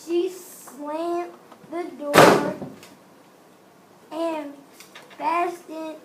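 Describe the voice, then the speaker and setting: A woman storyteller reading a fairy tale aloud, haltingly, repeating and correcting phrases. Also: high voice. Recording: noisy room recording with hiss.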